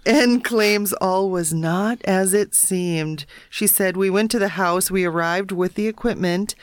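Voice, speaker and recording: A woman's voice: mid-range voice, measured pace, no echo, clean studio sound. A woman co-hosting a podcast, reading paranormal news stories in a casual, matter-of-fact way. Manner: reads stories matter-of-factly, breaks into laughter at jokes.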